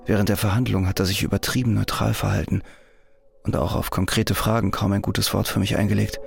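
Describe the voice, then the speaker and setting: A man's voice: lowish voice, level tone, brisk, gravelly. An actor voicing the introspective inner thoughts of a troubled male prisoner in a radio drama. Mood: brooding.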